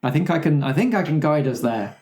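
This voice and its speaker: A man's voice: a little high, animated, brisk, darkish tone. A man playing a tabletop roleplaying game with casual in-game banter.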